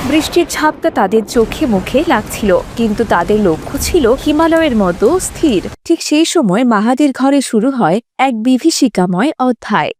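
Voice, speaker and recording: A woman's voice: on the high side, a little mellow. A woman giving scripted narration for a cartoon story.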